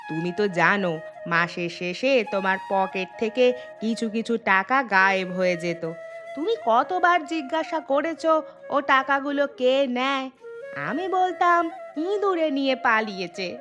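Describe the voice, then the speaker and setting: A woman's voice: a little high, animated, normal speed, natural voice. A woman voicing an affectionate, coy village housewife in a cartoon story, homely in manner. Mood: coy, confessing. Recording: music clearly under the voice.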